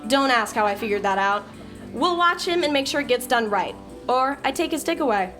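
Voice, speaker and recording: An impatient young coder who cuts people off with sarcasm, played by a woman. Her voice sits a little high and her manner is blunt throughout. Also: lively intonation, brisk, a bit bright, soft background music. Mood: irritated.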